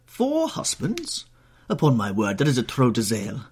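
A man's voice: fairly low pitch, fast, a little mellow, a little hiss or hum, pitched above the performer's own voice, close to an everyday voice. A man voicing a witty, teasing older society lady in an audiobook, exclamatory, arch and playfully scolding. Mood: curious, exclaiming. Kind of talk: audiobook character voice.

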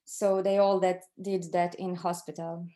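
A middle-aged woman from Croatia with a Croatian accent tells a personal story as an interview guest, conversationally, pausing to search for words in her second language. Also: fairly low pitch, level tone, dark tone. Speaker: interview guest recounting her own experience.

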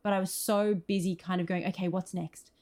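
A woman's voice: on the low side, fairly even intonation, brisk, warm tone. An Australian woman speaking as an interview guest, earnest and effusive in a conversational way. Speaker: podcast guest, screenwriter.

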